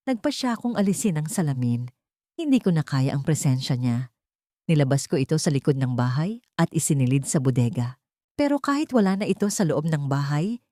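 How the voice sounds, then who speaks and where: A woman's voice: low-pitched, warm tone, no room sound, clean studio sound. A woman reading a scripted first-person horror story in a dramatic, suspenseful manner.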